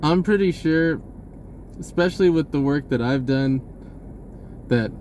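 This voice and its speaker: A man talking casually in a rambling monologue, halting and pausing mid-sentence as he goes. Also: a little high, a little mellow, a little hiss or hum. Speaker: vlogger.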